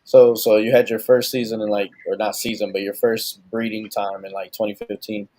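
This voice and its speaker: A man co-hosting a casual podcast over a video call, relaxed and slangy, joking with his partners while asking questions. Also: on the low side, moderate pace, dry recording, rough recording. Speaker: podcast co-host and father.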